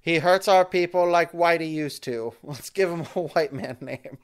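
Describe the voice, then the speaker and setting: A man's voice: a little high, normal speed, clean tone. A man co-hosting a casual podcast, joking, laughing often and sometimes tripping over his words. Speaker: podcast co-host. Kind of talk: casual podcast chat with a mock ad read.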